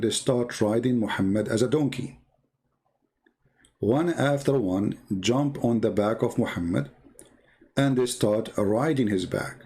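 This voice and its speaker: A man with a gravelly, creaky voice and non-native English presents an informal, polemical commentary. He sits at a slightly low pitch for a man and tells stories with sardonic mockery, often halting and repeating himself as he goes. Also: darkish tone. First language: not English. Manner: sardonic, mocking. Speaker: commentator presenting religious texts. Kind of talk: informal polemical commentary, retelling and reading aloud.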